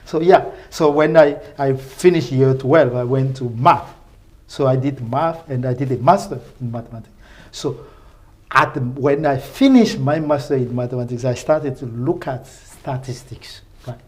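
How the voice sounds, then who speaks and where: A man's voice: mid-range voice, darkish tone. Enthusiastic man with a Francophone West African accent. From Benin, West Africa. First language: French. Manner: enthusiastic, repeats phrases, halting English phrasing. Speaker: research professor, podcast guest. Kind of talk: casual spoken interview.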